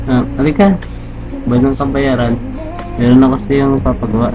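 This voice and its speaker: A man voicing a cheeky, excitable young suitor with a Filipino accent in a comic amateur dub, teasing and exclaiming. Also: medium pitch, normal speed, lo-fi sound, natural voice. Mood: teasing, coaxing. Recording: music behind the voice.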